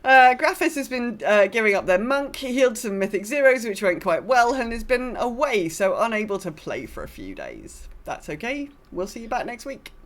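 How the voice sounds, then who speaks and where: A woman's voice: on the high side, very animated, normal speed, hardly any pauses. A woman co-hosting a podcast in casual chat about gaming, informal and rambling, with a self-deprecating streak. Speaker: podcast co-host.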